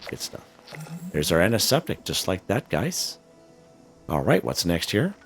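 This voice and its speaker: A man with an extremely deep, hoarse voice, as if he is losing it, talks through a game in a loose, chatty way. He leans on fillers and keeps checking in with "okay?" Faint music runs underneath his commentary. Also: huge pitch swings, a little slow. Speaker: gaming channel host. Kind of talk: casual gameplay walkthrough commentary.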